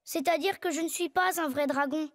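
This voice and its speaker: A woman's voice: sits high, a little fast, no room sound, clean studio sound, close to an everyday voice. A woman voicing an earnest, hesitant young schoolboy in a French cartoon.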